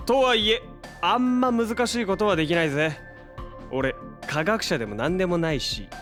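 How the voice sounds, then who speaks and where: A man playing a brash, casual young hero in an audio drama, with slangy, expressive delivery. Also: high-pitched, normal speed, soft background music. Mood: eager, determined. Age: young adult.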